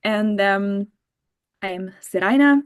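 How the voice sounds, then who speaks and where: A woman's voice: medium pitch, slow pace, clean tone. Swiss german woman on a video call. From Switzerland. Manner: warm, giggly, many fillers. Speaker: workshop teacher, medical doctor. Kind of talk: casual online workshop talk.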